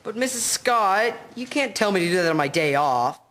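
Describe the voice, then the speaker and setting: A woman's voice: medium pitch, animated, moderate pace, a bit bright, slight background noise, close to an everyday voice. A woman playing a cheeky, work-shy 1950s schoolboy in an amateur audio drama. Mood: cheeky, grumbling. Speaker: actor in an amateur history drama.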